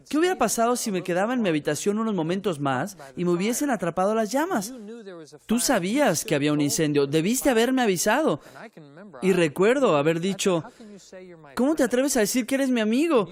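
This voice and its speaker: A man from Ecuador giving an earnest personal testimony, acting out the dialogue he recalls. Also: high-pitched. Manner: earnest storytelling, acts out quoted dialogue. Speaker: missionary's son giving testimony.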